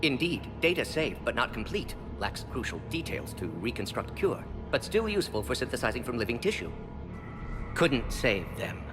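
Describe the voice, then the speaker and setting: A brilliant, urgent alien scientist in a video game. He talks in clipped fragments with lively swings of pitch. Long hall reverb surrounds him and music plays clearly behind. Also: moderate pace. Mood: regretful, grave. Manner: clipped, telegraphic phrases without articles. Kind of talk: video game cutscene acting.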